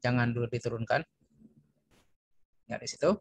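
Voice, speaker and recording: A man's voice: medium pitch, fairly even intonation, normal speed, creaky, no echo. A man with an Indonesian accent teaching a language lesson online, explaining and reading phrases aloud with repetition for learners. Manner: instructional, repeats phrases for learners.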